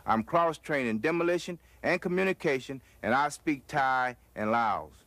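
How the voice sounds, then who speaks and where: A man's voice: medium pitch, animated, moderate pace, a bit bright, dry recording. A man serving as an army sergeant, reciting a formal scripted introduction of his role and training.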